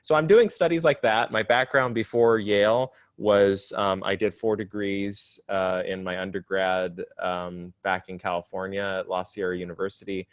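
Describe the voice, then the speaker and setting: A man's voice: deep voice, steady tone, moderate pace, hardly any pauses, dry recording, clean studio sound. A young man in his twenties as a podcast guest, explaining his studies in an articulate way with some self-deprecating humor. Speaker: podcast guest, divinity student. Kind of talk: casual interview chat.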